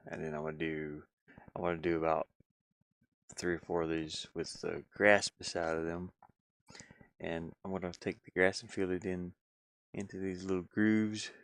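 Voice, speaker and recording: Low-voiced man muttering through a casual livestream. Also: dry recording. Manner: rambling, muttering to himself and thinking aloud. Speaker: game-streaming host and hobby game designer. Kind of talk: casual livestream chat while working on screen.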